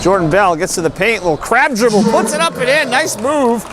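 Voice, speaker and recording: Male play-by-play caller, high voice, excitable and jokey, live in a noisy gym. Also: brisk. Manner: excitable, rises in pitch on big plays, light jokes. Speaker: play-by-play announcer. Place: high school gym during a game. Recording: loud gym noise under commentary. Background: crowd and bouncing basketballs.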